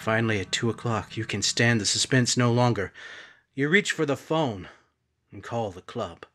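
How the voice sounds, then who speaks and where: An actor playing an ominous male narrator who speaks to the killer in a measured, accusing way. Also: mid-range voice, fairly bright tone, faint music behind, natural voice. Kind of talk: second-person radio mystery narration.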